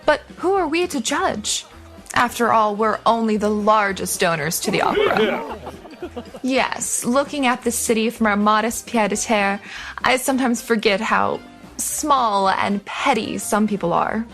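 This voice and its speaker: An actress playing a snobbish, ruthless rich heiress with a pompous, condescending manner in a pulp radio drama. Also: female voice, a little high, a little slow, a bit bright, faint music behind. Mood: smug, grandiose. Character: haughty, spoiled, ruthless rich socialite crime heiress.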